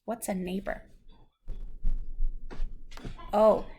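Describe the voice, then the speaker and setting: A woman's voice: medium pitch, level tone, very slow, dark tone, slightly rough. A woman reading a story aloud to a young child, patiently explaining words in simple terms. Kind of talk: slow read-aloud storytelling with questions to a child.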